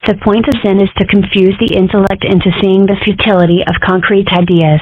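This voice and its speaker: A woman's voice: mid-range voice, flat delivery, a little fast, dry recording. A woman reading parts in a fan comic dub in a matter-of-fact, wry way. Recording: dry phone-like audio.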